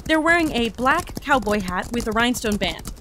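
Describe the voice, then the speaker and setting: A woman playing an eager, naive explorer entity who excitably logs observations aloud in an audio drama. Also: fairly high pitch, moderate pace, noisy background, natural voice. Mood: focused, observing. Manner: excitable, gushing, talking through observations aloud. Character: eager, naive young explorer entity logging a new reality. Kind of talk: audio drama mission log.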